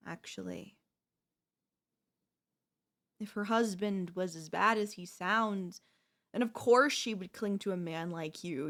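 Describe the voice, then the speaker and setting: A woman playing a devoted, possessive wife in an ASMR audio roleplay, speaking haltingly with deliberate dramatic pauses. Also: medium pitch. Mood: quiet, eerily calm. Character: devoted wife, shocked then eerily composed, quietly possessive.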